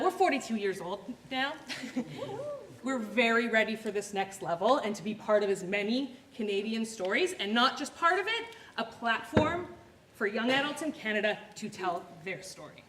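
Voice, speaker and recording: A woman's voice: on the high side, fairly bright tone. A woman making an earnest donation appeal on stage, with small laughs between phrases. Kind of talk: live stage speech. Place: large theatre hall. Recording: echoey theatre with faint noise.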